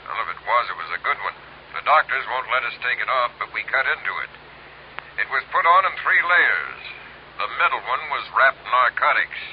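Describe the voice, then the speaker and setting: A man's voice: medium pitch, animated, fairly quick, natural voice. Guy playing brusque cop lieutenant, midrange voice, no nonsense, dull hissy old radio show. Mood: brisk, wry. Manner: brusque, colloquial. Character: brisk, no-nonsense male police lieutenant. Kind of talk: old-time radio drama acting.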